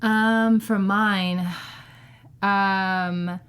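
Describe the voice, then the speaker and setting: A woman's voice: medium pitch, steady tone, extremely slow, a bit bright, smooth. Female panelist, mid-range voice, loose rambling talk that circles back on itself. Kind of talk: casual TV recap chat.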